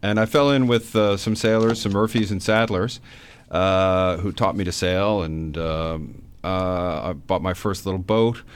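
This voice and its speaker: A man being interviewed about his book in a radio studio, talking in a relaxed, hesitant way with many fillers. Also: low-pitched, a little slow. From Canada. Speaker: author guest.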